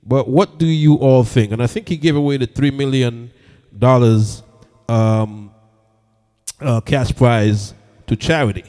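A man hosting a reggae radio show, chatting casually with listeners, giving shout-outs and asking for their views. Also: medium pitch, unhurried. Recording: long reverb with music underneath. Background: reggae music playing.

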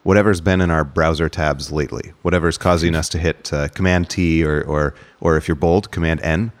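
A man's voice: a bass voice, steady tone, brisk, no echo. A man hosting a podcast in casual conversation, often repeating words as he feels his way through a sentence. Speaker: podcast host.

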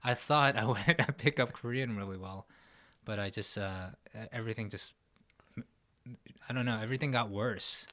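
A man from New York chatting casually on a podcast in a relaxed, self-deprecating, rambling way. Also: fairly low pitch, moderate pace. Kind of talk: casual chat.

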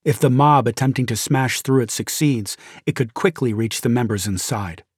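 A man with an American accent reads a nonfiction audiobook in a measured, grave and deliberate way, with dramatic weight. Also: medium pitch, breathy edge, dry recording, studio quality. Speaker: audiobook narrator. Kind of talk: dramatic scripted audiobook narration of a nonfiction memoir. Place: dry recording studio. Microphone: close studio microphone.

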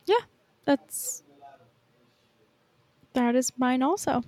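A woman co-hosting a podcast in relaxed, informal conversation. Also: high voice, drawn-out words. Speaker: podcast co-host. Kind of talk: casual chat.

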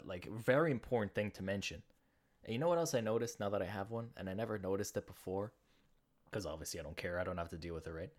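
A young man with a slight lisp, hosting a solo podcast and rambling through jokey, self-deprecating stories. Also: fairly low pitch. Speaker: podcast host. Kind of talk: casual solo podcast monologue.

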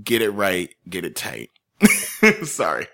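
A man's voice: deep voice, wildly swooping pitch, slow pace, creaky, bright, forceful tone. A male podcast host telling a story in casual chat, joking and laughing often.

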